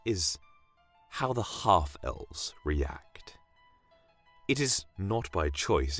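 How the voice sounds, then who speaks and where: A deep male voice of an actor plays a sentimental, kindly doctor from a fantasy kingdom. He speaks to the listener like a reflective storyteller, with gently formal wording. Music plays softly behind him. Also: husky, full character voice. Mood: fond, wondering. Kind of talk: in-character fantasy monologue to a listener.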